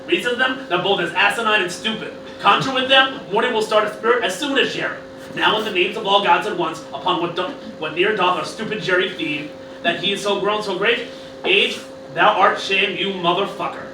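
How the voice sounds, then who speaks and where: Arrogant old mad-scientist cartoon villain, acted by a man, sweary and declamatory, high voice, recorded roomy and distant. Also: fairly quick, lightly acted. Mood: scornful, persuasive. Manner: ranting, swearing, declamatory, sometimes stumbling over lines. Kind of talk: amateur comedic Shakespeare parody performance. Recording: roomy, distant mic, noisy room.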